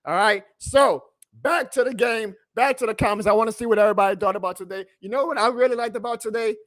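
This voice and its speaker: A man hosting a live sports reaction stream, excitable and emphatic, his voice going hoarse. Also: high voice, quick pace, dry recording, studio quality. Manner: excitable, emphatic, plugs merch, reads chat aloud. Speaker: sports fan livestream host.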